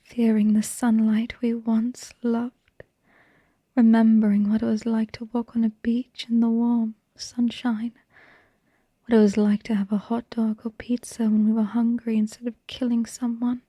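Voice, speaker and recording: A woman playing a devoted, tender and haunted vampire wife in a hushed, breathy, intimate ASMR bedtime monologue. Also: on the high side, flat delivery, no echo. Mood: sorrowful, haunted. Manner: slow, tender, halting delivery with lingering pauses.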